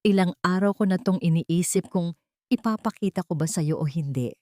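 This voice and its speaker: A woman voicing a hesitant, sympathetic older female friend in an audio story, speaking softly with concern. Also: lowish voice, moderate pace, no room sound, clean studio sound, close to an everyday voice.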